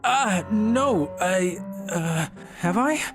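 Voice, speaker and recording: A woman's voice: mid-range voice, expressive, extremely slow. A woman voicing a scheming, cowardly teenage schoolboy in a manga dub, boastful one moment and stammering excuses the next. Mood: flustered. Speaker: voice actor. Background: background music.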